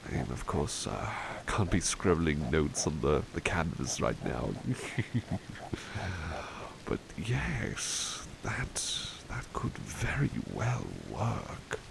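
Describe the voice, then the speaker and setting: A man's voice: deep voice, big pitch swings, slow pace, exaggerated voice. A man playing a devoted, mild-mannered butler in an ASMR roleplay, speaking politely and formally, with hesitant, musing phrasing. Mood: pleased, bashful. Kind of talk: ASMR roleplay, personal attention. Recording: clearly noisy ambience behind voice.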